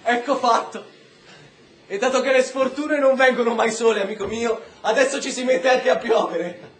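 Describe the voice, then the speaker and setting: A man's voice: very high-pitched, normal speed. A man acting a weary, streetwise prisoner in a radio drama, talking in a sardonic, conspiratorial way. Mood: wry. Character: weary, streetwise British-Pakistani male prisoner, wryly curious. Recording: roomy echo with faint noise.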